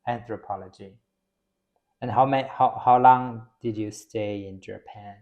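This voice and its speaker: A man's voice: lowish voice, steady tone, unhurried, narrow, tinny audio. A man interviewing a guest, who asks short questions and gives brief, encouraging replies. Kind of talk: casual interview conversation.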